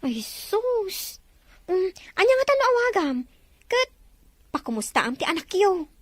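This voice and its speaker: An actress playing a chatty, gossipy friend who teases and gives advice. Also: female voice, extremely high pitch, big pitch swings, unhurried, no echo, heavily acted. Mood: teasing. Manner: teasing, gossipy. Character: chatty, nosy middle-aged woman friend.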